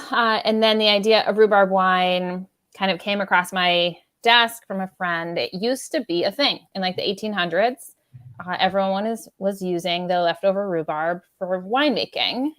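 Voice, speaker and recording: A woman's voice: lowish voice, no room sound, clean studio sound. A woman from Maine, a rhubarb winemaker talking as a podcast guest in a chatty, easygoing way with frequent fillers and laughs. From Maine, USA. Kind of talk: casual podcast conversation.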